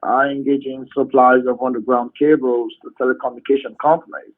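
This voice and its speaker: A man on a voice call, talking hesitantly with many fillers and soft trailing endings. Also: mid-range voice, level tone, brisk. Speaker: scammer on a voice call. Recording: tinny phone line.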